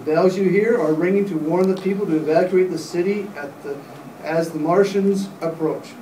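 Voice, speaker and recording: A man's voice: sits high, moderate pace, dark tone, a little hiss or hum, close to an everyday voice. A man acting as a grave radio announcer in a live radio play, reporting solemnly and dramatically as an eyewitness. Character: grave radio announcer witnessing an invasion from a rooftop. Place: hotel meeting room, panel table. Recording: distant camera mic in echoey room.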